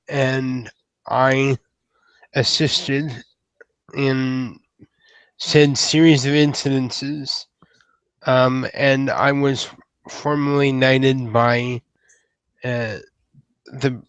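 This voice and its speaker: A man's voice: medium pitch, fairly even intonation, very slow, pauses often, clean tone, no room sound, low-quality recording. A man playing a nervous, awkward young male troll, speaking in a hesitant, stammering, politely formal and apologetic way in a tabletop roleplaying game.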